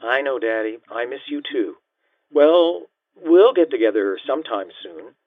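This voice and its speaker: A man voicing a reticent, forgiving young daughter with a soft, hesitant manner in audiobook dialogue, through a telephone filter. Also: mid-range voice, expressive, unhurried, dry recording. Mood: shy, forgiving. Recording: thin, band-limited phone-line sound.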